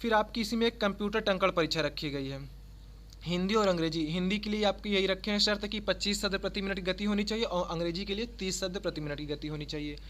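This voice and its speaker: A man's voice: sits high, fast talker, a bit bright. A man from giving an informal, instructional explainer as an online exam-prep presenter, restating points and adding little check-in tags. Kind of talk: informal explainer of job notices. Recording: faint background noise.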